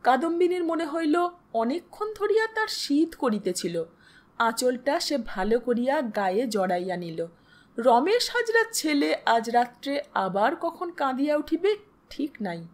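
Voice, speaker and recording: A woman's voice: high voice, big pitch swings, measured pace. A woman reading a literary story aloud as a scripted narration.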